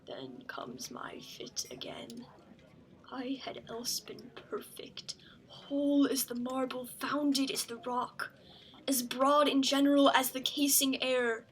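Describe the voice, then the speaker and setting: A young woman acting a brooding, tormented, murder-plotting king in Shakespearean verse, moving between hushed and declamatory delivery. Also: high voice, expressive, slow, rough recording. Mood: anxious, tormented. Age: young adult.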